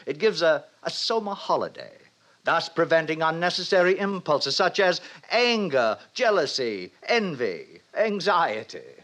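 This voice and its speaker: An actor playing a brisk, self-satisfied director who lectures young students pompously in 1950s radio drama style. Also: male voice, fairly high pitch, big pitch swings, fairly bright tone. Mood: approving, didactic. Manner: pompous, didactic, declamatory. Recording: vintage 1950s radio recording.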